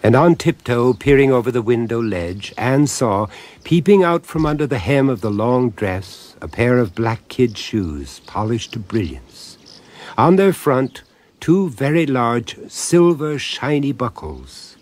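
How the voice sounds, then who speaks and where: An actor narrating a supernatural radio drama as a grave storyteller, with measured, suspenseful phrasing. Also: male voice, medium pitch, big pitch swings, a little mellow.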